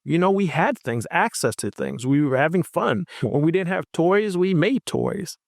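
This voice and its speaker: A man's voice: a little high, lively intonation, moderate pace, gravelly, no room sound, studio quality. A man from Haiti on a roundtable panel, talking emphatically and repeating his points for stress. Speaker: physician panelist. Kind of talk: roundtable discussion.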